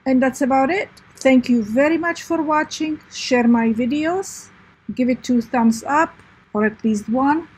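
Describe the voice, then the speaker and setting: A woman's voice: high-pitched, measured pace, darkish tone, breathy edge. A woman with a Czech accent, a craft channel host, patiently walking viewers through a tutorial step by step, with many "uh" fillers. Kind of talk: screen-recording tutorial.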